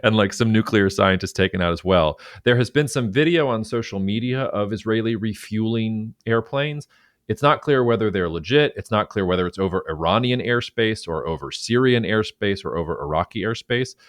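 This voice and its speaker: A man's voice: on the low side, normal speed, no room sound, clean studio sound. A man co-hosting a podcast, talking through news in a loose, chatty way with fillers and restarts. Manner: talkative, many fillers and restarts, animated when quoting. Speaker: podcast co-host. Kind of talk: casual current-affairs discussion.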